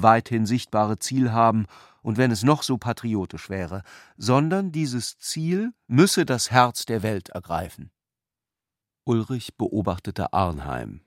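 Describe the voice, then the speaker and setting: A man's voice: lowish voice, expressive, takes it slowly, no echo, studio quality. A man reading literary narration as the narrator of a radio play.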